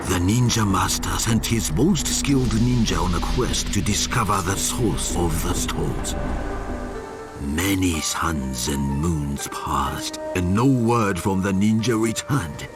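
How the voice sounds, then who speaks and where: A solemn storyteller narrating a video game legend. The voice is fairly deep and swoops dramatically with theatrical emphasis, over loud music. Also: male voice, full character voice. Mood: grave.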